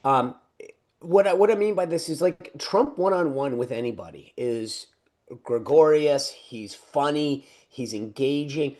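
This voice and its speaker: A middle-aged man co-hosting a casual political chat, talking loosely with many restarts and quick laughs. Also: a little high, a little mellow. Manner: chatty, full of restarts and laughs. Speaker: podcast co-host.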